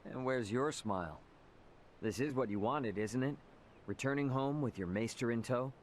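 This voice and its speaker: A man's voice: mid-range voice, moderate pace, slight background noise, lightly acted. A man playing a casual young game hero. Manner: casual, blunt. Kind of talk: video game voice acting. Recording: game cutscene with music behind.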